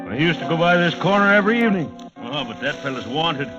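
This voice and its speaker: A man's voice: a little high, expressive, quick pace, close to an everyday voice. An actor playing a cocky, breezy street policeman with a teasing manner in an old-time radio drama. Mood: amused, cocky. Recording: muffled vintage radio recording, loud music behind.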